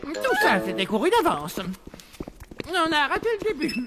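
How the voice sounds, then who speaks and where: A woman's voice: high-pitched, huge pitch swings, moderate pace, very noisy. A woman playing a bossy, exasperated young cartoon character who grumbles dramatically. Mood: grumbling. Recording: loud music over the voice.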